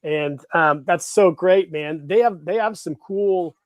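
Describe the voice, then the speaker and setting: A man's voice: sits high, no room sound, clean studio sound. A man guesting on a podcast, chatting casually and chiming in with enthusiastic reactions. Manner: enthusiastic, chimes in with quick reactions. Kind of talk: casual chat.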